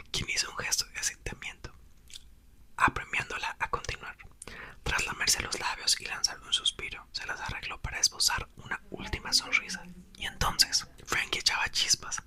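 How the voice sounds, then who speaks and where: A man reading a novel aloud in a soft, slow ASMR whisper, close to the microphone. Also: very high voice, huge pitch swings. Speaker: ASMR reader.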